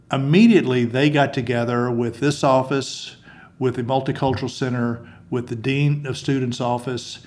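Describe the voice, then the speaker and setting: A man, a university chaplain, recounting events in an interview, with a few restarts. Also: medium pitch. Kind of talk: interview storytelling.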